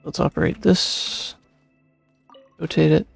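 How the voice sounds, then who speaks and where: A young man streaming a game, reading its text aloud and commenting casually, with a creaky, husky voice. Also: on the high side, huge pitch swings, slow pace, no echo. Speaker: gaming streamer. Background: soft game music.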